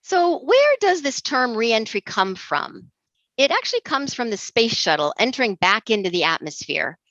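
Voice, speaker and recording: A middle-aged woman from Egypt giving a measured, earnest recorded presentation as an intercultural trainer. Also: on the high side, big pitch swings, fairly bright tone, no room sound. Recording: dull dry lo-fi recording.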